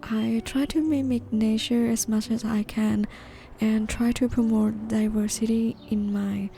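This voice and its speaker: A woman from northeastern Thailand, gently narrating a gardening video in a measured, explanatory way. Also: fairly high pitch, fairly even intonation, vocal fry, soft background music. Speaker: gardening vlogger. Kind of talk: calm scripted voice-over narration.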